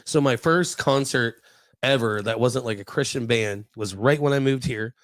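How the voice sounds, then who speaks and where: A man's voice: medium pitch, normal speed, fairly bright tone, no echo. An American man around forty telling stories in a casual, profane, slangy podcast chat style. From Ohio, United States.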